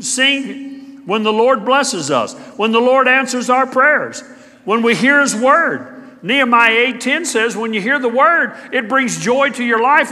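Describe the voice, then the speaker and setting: A middle-aged male pastor preaching with a high, lively voice and a very bright, forceful edge. He moves between loud, emphatic declarations and quiet, hushed asides. His words ring out in a church sanctuary with a long, echoing reverb. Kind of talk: sermon.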